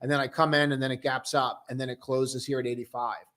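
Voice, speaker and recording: A young man casually hosting a live stock-trading stream, chatting loosely and joking with viewers in a relaxed, tired way. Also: mid-range voice, fast talker. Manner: loose, joking, full of restarts and "dude". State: tired, low on coffee.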